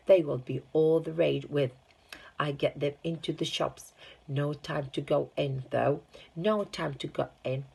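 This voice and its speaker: A woman acts out an eccentric male factory boss from a children's storybook. The voice is husky and creaky, jumping up in excitement. He boasts about his wares and briskly waves off any delay. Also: deep voice, exaggerated voice. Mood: excited, hurried. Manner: exclamatory, impatient, showing off.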